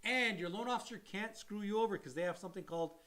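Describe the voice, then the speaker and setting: A man's voice: high voice, quick pace, fairly bright tone. A man who works as a mortgage loan officer, co-hosting a casual chat and explaining loans to viewers in an emphatic, blunt way and repeating his key points.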